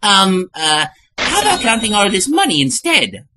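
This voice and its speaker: A woman's voice: mid-range voice, huge pitch swings, moderate pace, background noise. A woman voicing a nervous, wisecracking male plumber hero in a comic book fan dub, hesitant and exclamatory. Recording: music behind the voice.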